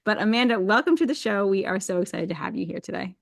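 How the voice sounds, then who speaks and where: A woman's voice: mid-range voice, animated, fast talker, creaky, no echo, clean studio sound. A woman hosting a podcast, introducing a guest in a bubbly, enthusiastic way. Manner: bubbly, enthusiastic, laughs often. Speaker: podcast host. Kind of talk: casual podcast chat.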